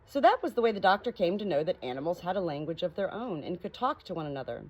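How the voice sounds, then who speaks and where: A woman narrating a children's audiobook in an expressive storybook reading style. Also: fairly low pitch, fast, highs cut off.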